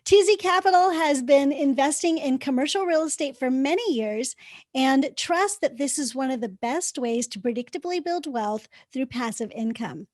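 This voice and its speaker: A woman hosting a podcast, reading a guest introduction and chatting enthusiastically, quick to laugh. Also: sits high, animated, a little mellow, no room sound, clean studio sound. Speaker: podcast host. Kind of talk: reading a guest bio, then casual interview chat.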